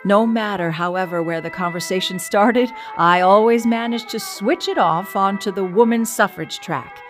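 A woman's voice: medium pitch, moderate pace, soft background music, natural voice. A woman playing an earnest, witty suffragist of the early 1900s in a dramatic, conversational reading of letters, with opinionated wryness. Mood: wry, earnest.

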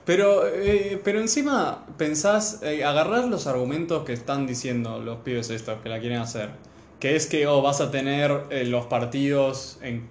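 A man's voice: medium pitch, normal speed, slight background noise. A young man with an Argentine Rioplatense accent chatting casually and colloquially about sport, giving blunt opinions. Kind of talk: casual sports chat with friends. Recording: roomy, echoey room.

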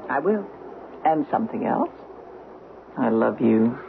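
An actress playing a curious, affectionate wife on vacation in a radio drama, speaking expressively and inquisitively. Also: female voice, very deep voice, sing-song, a little slow, vocal fry, heavily acted. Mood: curious, fond. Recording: tinny, noisy old radio recording.

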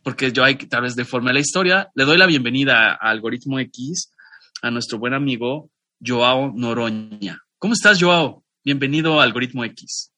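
Bright, dry-voiced Mexican man hosting. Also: medium pitch, normal speed, no room sound. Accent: Mexican Spanish. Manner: discursive, with frequent restarts and asides. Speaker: podcast co-host. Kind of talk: casual chat introducing a guest.

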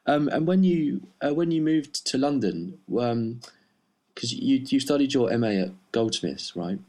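A man hosting an online interview, asking friendly, halting questions full of ums and restarts. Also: medium pitch, expressive, dark tone. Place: remote online recording.

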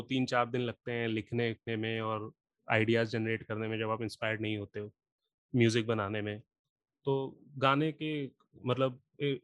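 A singer and rapper explaining patiently, step by step, in a casual podcast chat, with a sore throat from a cold. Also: male voice, mid-range voice, no room sound.

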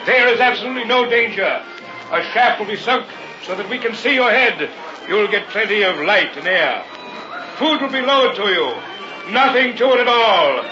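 Sinister showman hypnotist, theatrical and high-voiced, for a radio chiller; muffled vintage sound over music. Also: lively intonation, moderate pace. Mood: showy, coaxing. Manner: theatrical barker's patter. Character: sinister carnival hypnotist professor, smooth, pushy showman. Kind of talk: old-time radio horror acting.

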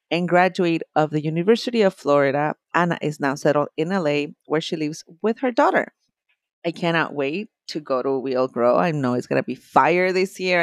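A woman hosting a podcast, warmly hyping up her guest in a casual, effusive chat. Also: low voice, no room sound, studio quality. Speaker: podcast host.

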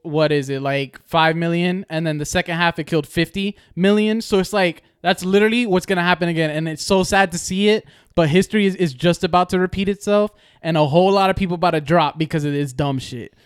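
A man's voice: high voice, steady tone, quick pace, few pauses, clear, resonant tone, dry recording, studio quality. A young man co-hosting a casual podcast, talking bluntly with plenty of slang and swearing. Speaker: podcast co-host. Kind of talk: casual chat.

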